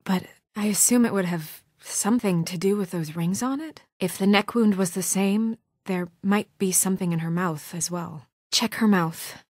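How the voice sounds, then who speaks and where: A woman playing a composed, determined, guarded young fairy-tale heroine in a video game, speaking in an earnest, firm, measured way. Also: mid-range voice, dry recording, studio quality, close to an everyday voice. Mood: grave, businesslike. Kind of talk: naturalistic video game voice acting.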